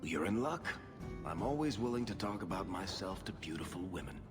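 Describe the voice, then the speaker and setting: A low-voiced, roguish dwarf from a fantasy game. He tells things with sardonic, self-amused flair and swings his pitch freely, with music playing behind him. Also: lively intonation, brisk. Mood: self-amused, breezy. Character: wry roguish male dwarf adventurer, charming younger son. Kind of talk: video game character dialogue.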